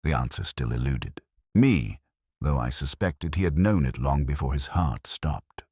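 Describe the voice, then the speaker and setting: A man narrating a scripted first-person detective story in a measured, literary, reflective manner. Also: very deep voice, animated, darkish tone, slightly rough, no room sound, studio quality.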